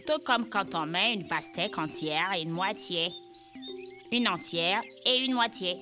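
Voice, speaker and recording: A woman voicing a calm, know-it-all girl in an educational cartoon, deliberate and instructive. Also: fairly low pitch, close to an everyday voice. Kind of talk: French-language educational cartoon dub. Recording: music and birdsong behind.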